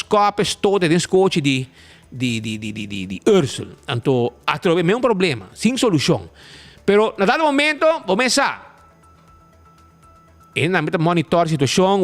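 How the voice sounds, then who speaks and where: Animated high-pitched male host. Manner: animated, stumbling restarts. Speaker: show host. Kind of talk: casual live show chat and commentary.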